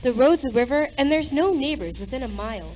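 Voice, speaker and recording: Woman playing a lively, proper mother. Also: high voice, a little fast, slight background noise. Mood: grumbling. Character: proper, fretful mother and polite hostess. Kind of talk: amateur radio play acting.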